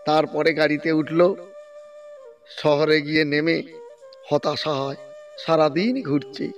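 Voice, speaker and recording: A man's voice: fairly high pitch, slow pace, distant mic, highs cut off, faint music behind. A man narrating a village story in a storytelling style.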